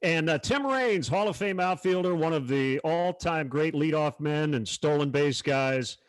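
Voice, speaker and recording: A man's voice: on the high side, expressive, measured pace, no room sound. A man working as an announcer, hosting a virtual sports panel discussion. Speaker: panel host, baseball announcer.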